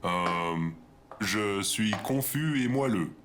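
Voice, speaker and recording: A man's voice: lowish voice, animated, extremely slow, very noisy. A man playing a confused, hesitant robot helper in an audio drama. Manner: hesitant, muddled. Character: confused, slow, soft-headed robot helper.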